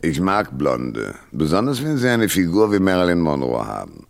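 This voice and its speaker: Low, sardonic hardboiled private detective. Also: male voice, big pitch swings, normal speed, creaky, soft background music, exaggerated voice. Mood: wry narration. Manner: sardonic, dry first-person narration and sharp banter. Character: wry, tough, womanizing hardboiled male private detective. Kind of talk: German radio noir acting with narration.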